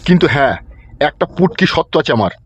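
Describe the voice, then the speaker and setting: A man's voice: a little high, animated, fast talker, slightly rough. A man playing a pushy, lecherous landlord in a comic cartoon, taunting and crude as he fires off questions. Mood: wheedling. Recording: dull lo-fi track with music.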